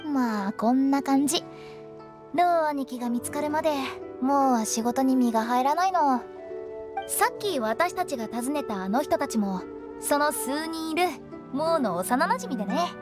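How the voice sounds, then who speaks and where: A woman voicing a brisk, playful, confident young female funeral parlor director in an anime-style game. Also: high voice. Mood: matter-of-fact. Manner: animated, confident, bossy. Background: background music.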